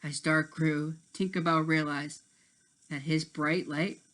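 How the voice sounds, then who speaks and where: A man's voice: a little high, steady tone, slow pace, a bit bright. A man reading a children's story aloud as narrator, now and then stumbling over a word and rereading it. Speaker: storybook reader.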